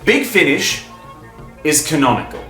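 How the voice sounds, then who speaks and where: A man hosting a fan video, talking in an enthusiastic, informal, emphatic way, stopping and starting with pauses for breath. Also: on the high side, big pitch swings, sharp tone, echoey room, faint music behind. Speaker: video host and fan reviewer.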